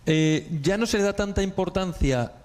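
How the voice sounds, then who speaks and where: A man's voice: high voice, normal speed, a little mellow, smooth. A man hosting a radio panel discussion, chatty and self-deprecating, with many fillers. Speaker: radio host.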